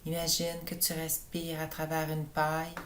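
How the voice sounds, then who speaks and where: Low-pitched woman guiding a sleep meditation. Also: a little hiss or hum. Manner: slow, calm, soothing instruction. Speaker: yoga teacher leading relaxation. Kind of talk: guided meditation narration for sleep.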